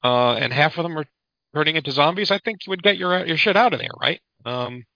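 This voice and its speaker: A man chatting casually as a podcast co-host, halting and wry, with some mild swearing. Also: a little high, gravelly, no echo. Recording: dull lo-fi podcast audio.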